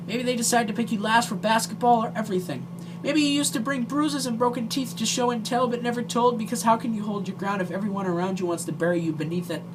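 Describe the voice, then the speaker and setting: Earnest vlogger, noticeably noisy recording. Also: mid-range voice. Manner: earnest, emotional, hesitant. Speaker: amateur video creator. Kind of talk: earnest direct-to-viewer vlog talk.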